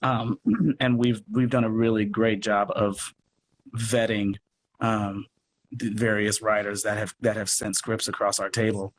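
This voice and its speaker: A man's voice: fairly low pitch, flat delivery, unhurried. A man chatting casually as an interview guest, speaking emphatically and with enthusiasm, often restarting his sentences and adding asides. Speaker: guest, theatre company producer. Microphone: video call. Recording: noisy live video call.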